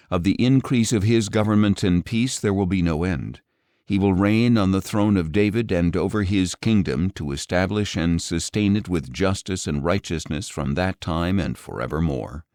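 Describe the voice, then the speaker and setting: A low-pitched man reads scripture as an audiobook narrator. His delivery is solemn and measured, with lively rises and falls in pitch and slowed, falling phrase endings. The sound is close and dry. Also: no echo.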